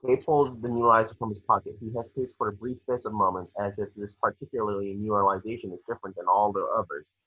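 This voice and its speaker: Low, husky man narrating a script. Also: fairly even intonation, fast, hardly any pauses, pushed and bright. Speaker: narrator reading action descriptions. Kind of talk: scripted narration in a film table read. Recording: dry, dull lo-fi home recording.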